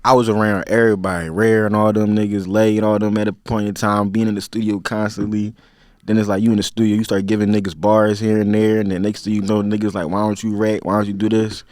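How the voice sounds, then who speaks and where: A young man in his twenties speaks with a Philadelphia accent in a fairly low voice. He is a podcast guest who talks in a loose, rambling way, full of slang and fillers. The sound is dry and close, with no room echo. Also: level tone, fast, darkish tone. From Philadelphia, USA. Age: 20s. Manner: laid-back, slang-heavy, rambling with many fillers. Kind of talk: casual interview chat.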